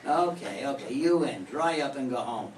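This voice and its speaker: An older man playing a wry, gruffly affectionate private detective in an old radio drama. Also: mid-range voice, expressive, normal speed, close to an everyday voice. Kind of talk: 1940s radio detective acting. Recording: roomy, distant mic, faint noise.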